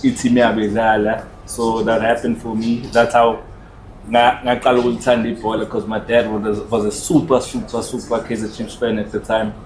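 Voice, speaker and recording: A man's voice: lowish voice, little pitch movement, brisk. A South African man from rural KwaZulu-Natal, casually telling his own story as a guest and switching between two languages. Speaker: podcast guest. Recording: echoey room with noise.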